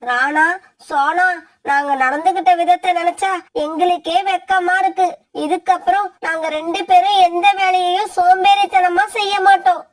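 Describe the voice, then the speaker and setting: A whiny female crow from an animated moral tale, played by a woman. The pitch is extremely high, and the manner is lazy and self-pitying. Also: moderate pace. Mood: ashamed. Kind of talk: children's cartoon story dialogue.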